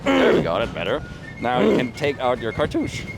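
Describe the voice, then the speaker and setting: A man's voice: mid-range voice, wildly swooping pitch, normal speed, a loud crowd. A man, a reenactment captain, instructing a recruit outdoors with good-humoured drill-style commands. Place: outdoors at a historic site in a city.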